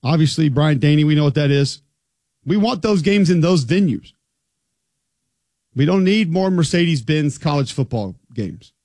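A man's voice: a little high, animated, moderate pace, pauses often, a little mellow, no echo, studio quality. A man hosting a college football talk show, chatty and emphatic. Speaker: sports radio host.

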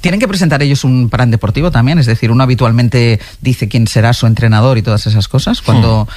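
A man's voice: mid-range voice, fast, darkish tone, slight background noise. A man with an accent from Spain hosting a sports talk show, moving between casual banter and introducing topics. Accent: Spanish from Spain.

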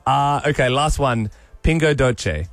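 A man co-hosting a breakfast radio show, running a quiz with enthusiastic, quick banter. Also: mid-range voice, expressive, dry recording, soft background music. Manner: enthusiastic, quick-talking quizmaster. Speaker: radio co-host.

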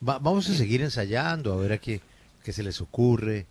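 A man hosting a lively group chat and urging his co-hosts to take turns. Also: mid-range voice, moderate pace. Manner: bossy, urging others on.

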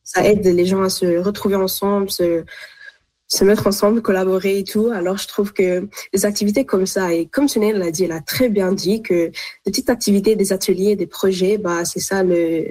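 A woman's voice: medium pitch, steady tone, moderate pace, mellow. A teenage young woman giving casual, earnest answers as an interview guest, often rephrasing for emphasis. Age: teens, high school student.

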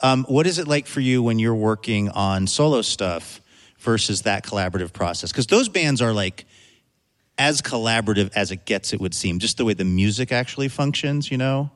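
A man's voice: lowish voice, lively intonation, normal speed. A male show host who sets up his questions in a chatty, friendly way and lets them ramble a little before getting to the point. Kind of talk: interview hosting.

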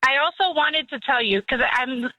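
A woman's voice: sits high, moderate pace, sharp tone, no echo, lo-fi sound. A young woman calling in to a radio show, chatting eagerly about her little boy. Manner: chatty, enthusiastic. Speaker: caller. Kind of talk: casual call-in chat.

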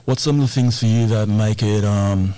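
A man's voice: lowish voice, steady tone, normal speed, mellow. An Australian man hosting a community radio show on location in a hall, informal and a little hesitant. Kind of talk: live radio interview.

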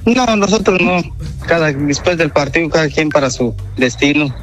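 A man's voice: on the high side, lively intonation, moderate pace, music in the background. A man, answering politely and hesitantly in short replies on a phone call. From Guatemala. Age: 20s. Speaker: prank-call target. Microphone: telephone call.

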